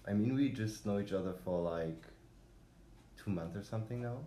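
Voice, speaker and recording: Male podcast host, low warm tone, rambling delivery full of fillers. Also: normal speed, echoey room, slight background noise. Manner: rambling, many fillers and restarts. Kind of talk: casual conversational podcast.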